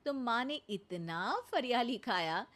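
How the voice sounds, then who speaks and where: A woman's voice: fairly high pitch, animated, slow, fairly bright tone. A woman narrating a scripted cartoon family story as the storyteller.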